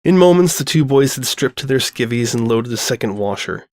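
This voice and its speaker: A man reading a scripted story aloud as an expressive storyteller. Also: mid-range voice, fairly quick, dry recording.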